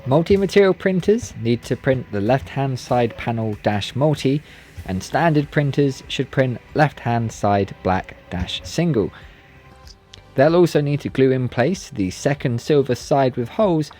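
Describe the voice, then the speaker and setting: A man's voice: medium pitch, lively intonation, unhurried, warm tone, faint music behind. A man giving scripted, step-by-step DIY tutorial narration in a careful, politely instructional manner. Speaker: presenter of a DIY build tutorial.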